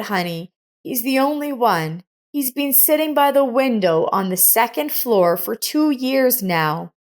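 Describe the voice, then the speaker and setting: Woman narrator as ailing older woman, kindly, gently pleading, earnest, slow-spoken, storybook character. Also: on the high side, voice pushed up.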